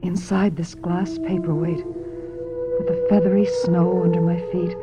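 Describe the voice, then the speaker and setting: An actress playing a wistful, troubled young female star in a radio drama, with a dreamy, breathy, dramatic delivery. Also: lowish voice, level tone, unhurried. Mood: haunted, dreamy. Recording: dull lo-fi radio, music behind.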